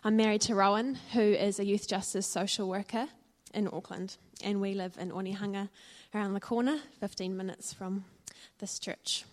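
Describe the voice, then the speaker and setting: A woman with a New Zealand accent shares her faith story at a church gathering, in an earnest, reflective tone. She often pauses on ums and uhs as she speaks. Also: medium pitch, fairly even intonation. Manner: earnest and reflective, with frequent fillers. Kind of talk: personal testimony talk.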